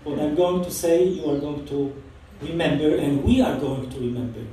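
A Polish-accented priest in his forties preaches in a relaxed, storytelling way, his voice warm and somewhat high. He sounds distant from the mic, with the boom of a large church around him and a faint hiss underneath. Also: normal speed. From Poland. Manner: chatty and anecdotal, laughing often. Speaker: priest giving the homily. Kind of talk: informal homily. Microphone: far camera across the church. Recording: echoey church from far off.

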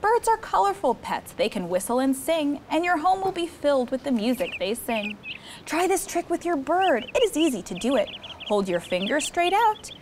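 High, lively young woman reading to kids. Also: moderate pace, a little hiss or hum. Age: young adult. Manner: expressive, sing-song rhymed reading. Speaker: children's story time host. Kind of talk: animated read-aloud to children, with chat. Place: indoor studio set with children seated on a rug.

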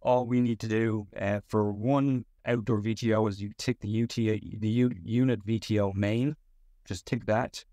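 Low-voiced man giving a tech tutorial. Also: fairly even intonation, moderate pace, dark tone, no echo, clean studio sound. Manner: step-by-step instructional. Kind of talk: software tutorial walkthrough.

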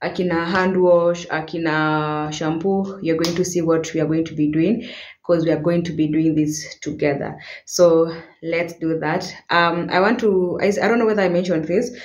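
A woman's voice: on the low side, steady tone, normal speed, muffled recording. A young Kenyan woman vlogging casually, chatting in English with Swahili words mixed in, speaking to a camera on a stand in a small apartment. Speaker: home vlogger. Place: small apartment room, indoors. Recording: echoey bare room, faint noise.

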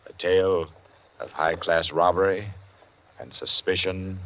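A man playing a suave announcer and narrator of a 1940s radio suspense play, with measured, dramatic delivery. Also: sits low. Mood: suspenseful. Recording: dull old 1940s radio recording, music behind. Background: dramatic music.

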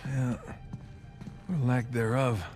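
An actor playing a quick-witted, wary treasure hunter in a cinematic video game, with a casual manner. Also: male voice, mid-range voice, flat delivery, a little mellow, lightly acted. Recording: music bed behind dialogue.

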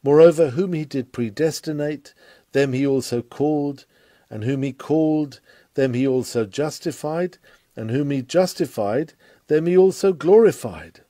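A man reading a scripted sermon aloud in a solemn, measured, oratorical manner. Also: on the high side, mellow, dry recording. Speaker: sermon reader.

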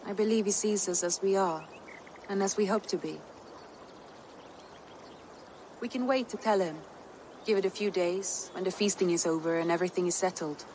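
A woman playing a composed, tender Viking noblewoman in a video game, speaking in measured, earnest, formal phrases. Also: medium pitch, darkish tone, a little hiss or hum, lightly acted. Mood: tender, sincere. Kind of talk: dramatic video game cutscene acting.

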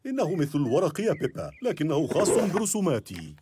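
A man's voice: fairly high pitch, wildly swooping pitch, fast, noisy background. An actor voicing a cheerful, playful father pig in a dubbed cartoon, jokey and chuckling. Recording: music bed under voice.

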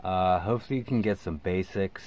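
An American man in his forties explaining things in a casual, informal vlog style, with emphatic, halting phrasing. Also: low-pitched, a little mellow, a little hiss or hum. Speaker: radio hobbyist video host. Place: inside a parked SUV.

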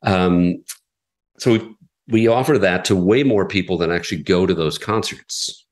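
A man in an interview, a radio executive explaining his station's approach in a measured way with frequent fillers. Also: deep voice. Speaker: classical radio station president. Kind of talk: conversational interview answers.

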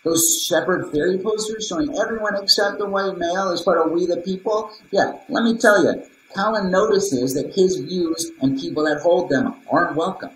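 A man's voice: sits high, normal speed, few pauses, fairly bright tone. A man in his thirties playing an argumentative, indignant school parent in a scripted dramatization. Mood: challenging. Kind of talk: scripted dramatization on video call. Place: small room, video call. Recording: roomy laptop call, light noise.

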